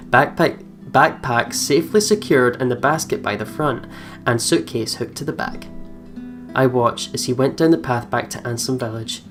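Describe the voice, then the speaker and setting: A woman let's player reading game narration aloud and commenting on it, expressive and giggly. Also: extremely low pitch. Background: game music.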